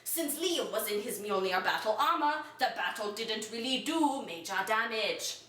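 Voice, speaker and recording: High-voiced woman reading in an echoey room. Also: expressive, normal speed, sharp tone. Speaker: reader of an amateur story. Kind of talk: mock-dramatic storytelling, reading aloud. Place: echoey room, away from the mic. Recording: roomy echo, distant from microphone.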